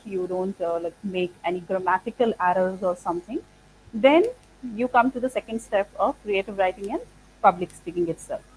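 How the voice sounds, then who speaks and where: A woman whose first language is Punjabi, from India, answering interview questions as an institute founder. She speaks thoughtfully and fills her pauses with "like" and "uh". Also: mid-range voice, a little mellow, a little hiss or hum, rough recording. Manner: thoughtful, many "like" and "uh" fillers. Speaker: founder of an English training institute.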